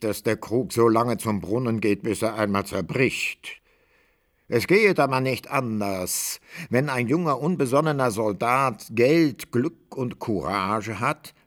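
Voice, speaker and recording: A man narrating a literary radio drama from a script, measured and wry in his storytelling. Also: mid-range voice, fairly bright tone.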